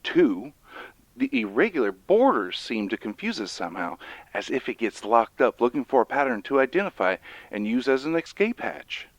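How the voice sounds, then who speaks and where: A man's voice: mid-range voice, big pitch swings, normal speed, dry recording. An actor playing an earnest male professor who explains urgently and emphatically in an audio drama. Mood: earnest, musing. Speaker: professor, researcher. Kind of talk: dramatized audio fiction monologue.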